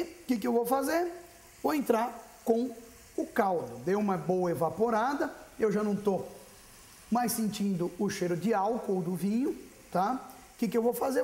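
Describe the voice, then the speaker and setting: A man's voice: high-pitched, a little hiss or hum. A man in his thirties, a TV chef in a studio kitchen, chatting to viewers while he cooks. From Brazil. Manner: lively, chatty, addressing viewers directly. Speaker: TV cooking show chef. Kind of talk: cooking demonstration. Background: frying and sizzling.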